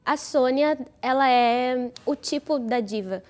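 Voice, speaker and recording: A woman's voice: sits high, takes it slowly. A woman, an actress explaining casually in an interview.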